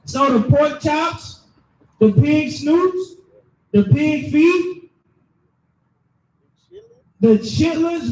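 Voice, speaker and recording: A man delivers a loud, emphatic sermon, explaining scripture line by line. His voice sits very high and rings with echo. He is recorded from some distance, with faint ambient noise behind him. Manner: loud, emphatic, preaching to a crowd. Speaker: street preacher. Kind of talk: shouted sermon with scripture reading.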